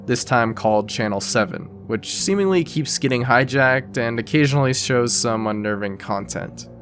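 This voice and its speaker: A man narrating an explainer video in a casual, conversational, scripted style. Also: mid-range voice. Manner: conversational, informal.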